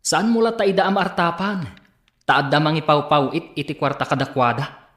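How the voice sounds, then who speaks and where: A man's voice: a little high, moderate pace, reverberant, natural voice. A man with a Filipino accent playing an exasperated husband who scolds reproachfully in a comedy radio drama. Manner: reproachful, expressive. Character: exasperated husband scolding his spendthrift wife.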